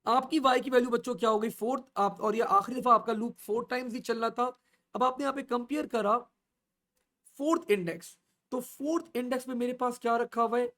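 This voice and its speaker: A man teaching an online coding class, asking the class questions and answering them himself as he steps slowly through each value. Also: very high voice, a bit bright. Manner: slow and repetitive, stepping through values. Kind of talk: online coding lesson in mixed Urdu and English.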